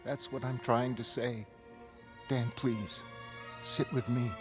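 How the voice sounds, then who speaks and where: A man voicing a shaken, blunt young woman in a motion comic, halting and emotional. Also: medium pitch, fairly even intonation, music in the background, natural voice. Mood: shaken, pleading.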